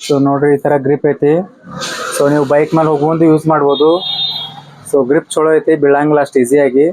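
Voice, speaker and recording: A man's voice: fairly high pitch, flat delivery, fast, a little mellow, poor audio. A man with a North Karnataka accent giving a casual product unboxing and review, in a repetitive way with short exclamations. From Karnataka, India.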